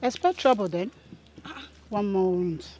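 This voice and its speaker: A woman's voice: mid-range voice, expressive, normal speed, darkish tone, lightly acted. A woman playing a blunt, meddling friend in a film drama, with a Nigerian accent and an emphatic, advising manner. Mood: emphatic, goading.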